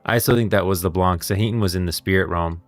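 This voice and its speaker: Male gaming lore streamer, low voice, casual and rambling, close dry sound over background music. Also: fast, dry recording. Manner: rambling, thinking aloud. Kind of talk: casual livestream commentary on game lore.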